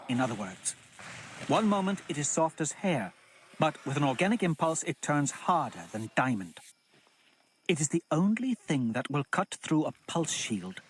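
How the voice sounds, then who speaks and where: A man voicing a prim, fastidious tutor of manners who scolds precisely and fussily. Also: on the high side, slight background noise.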